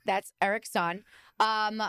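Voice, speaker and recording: A woman in her thirties hosting a podcast, with a loud, powerful voice and playful, teasing banter. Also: on the high side, clear, resonant tone, dry recording, clean studio sound. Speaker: podcast host. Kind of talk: casual chat.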